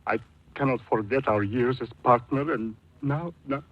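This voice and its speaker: An actor playing a grave, wealthy immigrant businessman in his forties, halting and formal, in 1940s radio drama. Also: medium pitch, lightly acted. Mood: halting, solemn. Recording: dull lo-fi vintage radio recording.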